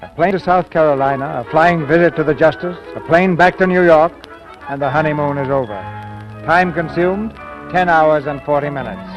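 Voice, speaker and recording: A man's voice: fairly high pitch, normal speed. Old time radio announcer guy narrating, dull sound, music behind him. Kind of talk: scripted 1940s radio narration. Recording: muffled old radio broadcast.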